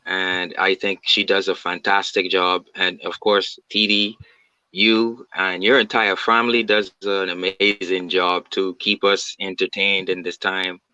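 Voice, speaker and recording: A polite man from the Bahamas joins over a video call, voice low, bright and smooth. He talks haltingly, full of "um"s. The audio is dull and lo-fi with a dry, close sound. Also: flat delivery, dry recording. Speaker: guest caller. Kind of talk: casual fan chat.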